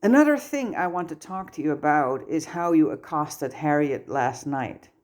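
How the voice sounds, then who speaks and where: A woman plays a male schoolteacher in a storybook reading, with a low voice. He is firm and measured, stern yet fond, with dry patience. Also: animated, a little mellow. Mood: firm, questioning. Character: stern but fond adult male teacher.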